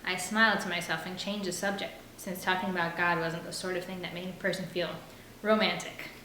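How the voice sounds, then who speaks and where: A woman's voice: fairly low pitch, steady tone, a little fast, bright, forceful tone. Woman reading a novel aloud, echoey room. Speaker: audiobook reader. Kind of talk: reading a novel aloud, first-person narration. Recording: roomy echo with faint background noise.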